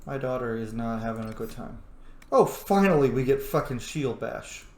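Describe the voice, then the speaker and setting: A man's voice: medium pitch, lively intonation, normal speed. A young man giving casual, rambling playthrough commentary on a video game, with joking asides. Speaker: streamer playing a video game. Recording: roomy echo, faint background noise.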